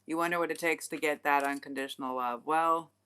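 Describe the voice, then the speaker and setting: A woman with a low voice and a bright, forceful edge gives a tarot reading to her viewers. She rambles frankly, swears casually and fills her sentences with "like" and "I don't know.". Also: fairly even intonation, a little fast, clean tone. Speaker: tarot reader.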